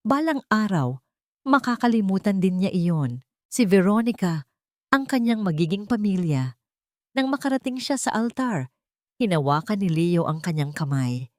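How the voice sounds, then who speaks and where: A woman narrating a scripted romantic drama in deliberate, dramatic short phrases. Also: mid-range voice, sing-song, no echo, studio quality. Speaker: story narrator.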